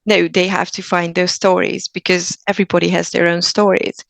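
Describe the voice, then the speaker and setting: A woman's voice: lowish voice, flat delivery, fairly quick, creaky, dry recording. A woman from Hungary with a Hungarian accent, a guest actor speaking earnestly in a casual podcast interview, often restarting her sentences. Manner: earnest, thoughtful, with frequent restarts.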